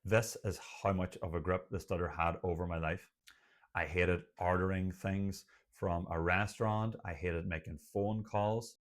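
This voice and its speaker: A man's voice: deep voice, fairly even intonation, unhurried. An Irish man, a doctor who stutters, speaking earnestly to camera about his experience in a personal vlog. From Ireland. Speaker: doctor and stuttering coach, channel host.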